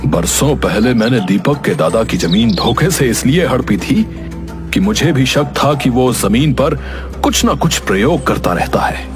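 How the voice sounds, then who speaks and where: A man playing a boastful, murderous village chieftain in a cartoon, speaking in a stern, deliberate, threatening way. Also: mid-range voice, expressive, over music, lightly acted. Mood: scheming. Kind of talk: dramatic Hindi cartoon dialogue.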